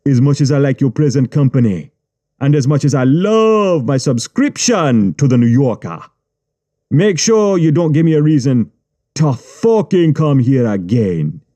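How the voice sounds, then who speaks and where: A man voicing a menacing Jamaican gang boss who speaks in calm, mocking patois, read for an audiobook. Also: medium pitch, a little slow, voice pushed up. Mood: threatening. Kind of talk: audiobook character reading.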